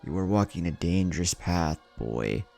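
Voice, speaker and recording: A man's voice: deep voice, expressive, moderate pace, creaky, darkish tone, soft background music. A man voicing a proud old ninja village leader with a harsh, sneering manner in an anime story. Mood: warning.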